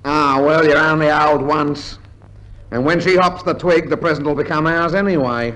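An actor playing a rude, wisecracking Australian larrikin in a 1950s radio comedy, blunt and slangy. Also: male voice, on the high side, steady tone, moderate pace, natural voice. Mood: brisk, conspiratorial. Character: rude, wisecracking working-class larrikin man. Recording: slightly noisy 1950s radio recording.

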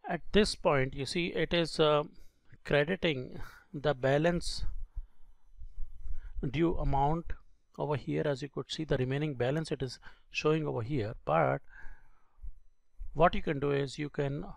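A man giving a step-by-step software tutorial as an instructor, speaking in a halting, explanatory way into a close microphone. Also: a little high, creaky, no echo. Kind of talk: screen-recorded software tutorial.

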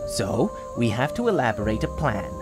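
A man's voice: mid-range voice, sing-song, moderate pace, natural voice. A man voicing a stern, wary young mug brother in a cartoon comic dub, earnest and insistent. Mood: determined. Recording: prominent music behind the voice.

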